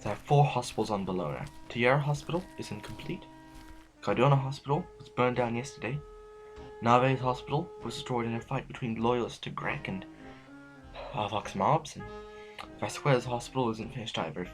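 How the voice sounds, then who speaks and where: An actor playing an anxious, weary, conscientious official in an audio drama, speaking formally with hesitant stammers. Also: male voice, medium pitch, moderate pace, close to an everyday voice, rough recording. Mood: matter-of-fact. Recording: music under the voice. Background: music.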